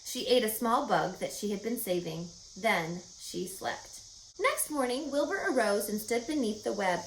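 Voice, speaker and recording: A woman reading a children's story aloud in an expressive, emphatic and explanatory way. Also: mid-range voice, moderate pace. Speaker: storybook reader. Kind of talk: animated children's read-aloud narration. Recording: roomy echo with faint background noise.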